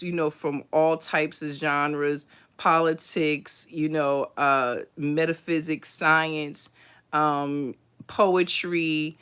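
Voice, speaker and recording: A middle-aged woman hosting a livestream book review, chatting in an unhurried, thoughtful way with many pauses and restarts. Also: sits low, fairly bright tone, clean tone. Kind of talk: casual livestream book talk.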